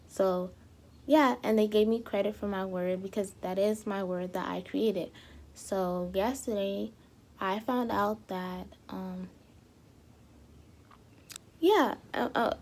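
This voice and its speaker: A young woman vlogging casually to her viewers, a little hoarse as she loses her voice, rambling with lots of fillers and restarts. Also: medium pitch, darkish tone, a little hiss or hum. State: slightly hoarse, losing her voice. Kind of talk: casual talk to camera.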